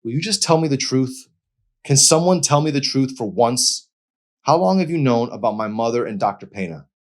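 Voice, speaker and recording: A man's voice: mid-range voice, lively intonation, normal speed, voice pushed up, close to an everyday voice. A man voicing an angry, hurt young woman in an audiobook, demanding and confrontational. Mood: bitter, accusing. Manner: indignant, confrontational.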